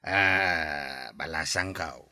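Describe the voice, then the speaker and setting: A man's voice: extremely low pitch, extremely slow, sharp tone, dry recording, clean studio sound, exaggerated voice. A man playing a drunk Ilocano father. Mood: tipsy teasing. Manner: slurred, rambling drunken talk. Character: drunk older father, rambling and teasing. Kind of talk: radio drama acting.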